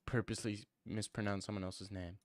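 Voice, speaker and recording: A man's voice: on the low side, little pitch movement, brisk, no echo, studio quality. A young man streaming and answering viewer comments in a casual, teasing way, full of mock bravado.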